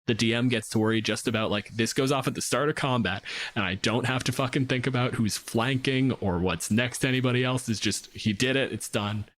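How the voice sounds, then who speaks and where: A man's voice: mid-range voice, brisk, fairly bright tone. A man hosting a casual podcast discussion on tabletop games, analytical and informal, with swearing mixed in. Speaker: podcast host.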